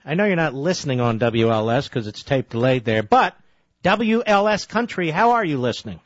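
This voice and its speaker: A male radio talk show host questioning a caller, probing with quick follow-ups and cutting in. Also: medium pitch, sing-song, no room sound, lo-fi sound.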